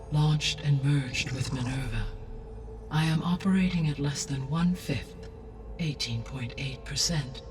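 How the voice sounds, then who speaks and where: A man voicing a calm ancient artificial intelligence in a video game cutscene, speaking in a measured, precise, clinical way. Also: a little high, close to an everyday voice. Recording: game cutscene with music, distant.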